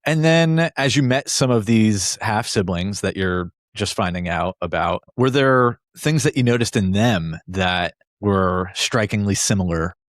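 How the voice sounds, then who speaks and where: Male host, low-ish voice, relaxed interviewer, dry close recording. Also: a little slow, hardly any pauses, no room sound. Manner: brief listening responses, relaxed questions. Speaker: podcast host. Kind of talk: interviewer asking questions.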